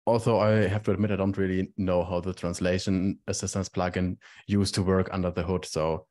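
A man's voice: low-pitched, darkish tone. A man on an online project meeting, explaining technical details in a plain, matter-of-fact way. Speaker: meeting participant.

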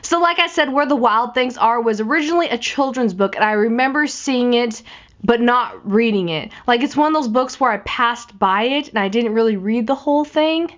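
A young woman in her twenties, slightly raspy from a cold, rambling chattily about a film while she sketches. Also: on the high side, moderate pace. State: recovering from a cold. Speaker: art vlogger. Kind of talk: casual chat while drawing. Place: small room in front of a patterned backdrop. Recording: slightly dull lo-fi audio.

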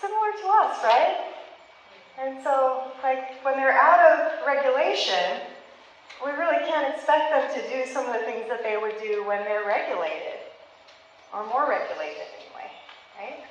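A woman's voice: high-pitched, lively intonation, moderate pace, a little hiss or hum. A woman in her thirties, a therapist leading a parenting workshop for a small group, talking with her hands and laughing often. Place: meeting room with flip charts on the walls. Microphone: handheld mic, filmed from across the room. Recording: echoey room heard from a distance.

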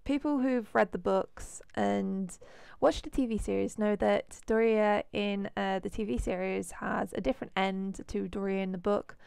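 Hoarse lady with a cold rambling about her game, gravelly but smooth, dry close mic. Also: medium pitch, steady tone, a little slow, hardly any pauses, a little mellow, no room sound. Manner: chatty, rambling with fillers. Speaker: let's play host. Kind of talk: casual game commentary.

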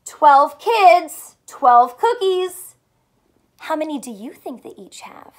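A young woman teacher doing a read-aloud math lesson for children, animated and full of questions, speaking clearly for kids. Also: sits high, takes it slowly. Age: young adult. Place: small furnished room at home, indoors.

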